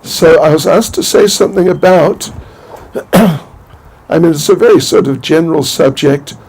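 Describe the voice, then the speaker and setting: An older man from New Zealand giving an informal spiritual talk, halting and rambling, with many restarts and small chuckles. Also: fairly high pitch, a little mellow, breathy edge, poor audio. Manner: chuckles to himself. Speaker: spiritual teacher.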